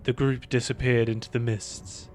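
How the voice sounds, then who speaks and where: A man's voice: mid-range voice, flat delivery, normal speed, a little mellow, faint music behind. A male narrator reads a scripted fantasy tale. His voice sits in a medium register. The delivery is that of an audio drama storyteller.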